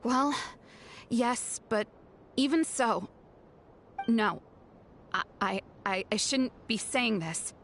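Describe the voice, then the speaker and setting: An actress playing a guarded, conflicted young female scout in a video game, brusque and at times halting. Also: fairly high pitch, a bit bright, a little hiss or hum. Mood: troubled, hesitant.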